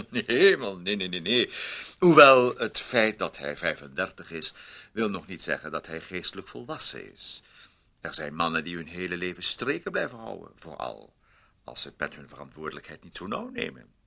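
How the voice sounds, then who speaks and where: Meddling gentleman from a radio play, with a deep, creaky voice that swoops expressively. He is fussily polite and wheedling, hesitating and repeating himself as he insinuates. The tone has a forceful edge. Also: sing-song, moderate pace, exaggerated voice. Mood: smooth, insinuating.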